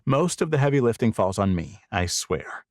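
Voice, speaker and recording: A man's voice: on the low side, sing-song, moderate pace, no echo, studio quality. A man voicing a confident, ambitious businessman of about thirty in a romance audiobook, easygoing and reassuring.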